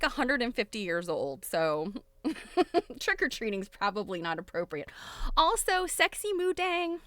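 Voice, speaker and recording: A woman's voice: a little high, a bit bright, no echo. A middle-aged woman hosting a casual livestream, chatty and giggly, often breaking into exclamations. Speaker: livestream host.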